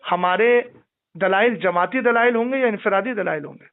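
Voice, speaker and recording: A man's voice: high voice, normal speed, gravelly. A man debating religion over a phone call, insistent, questioning and often cutting in. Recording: tinny, band-limited phone call recording.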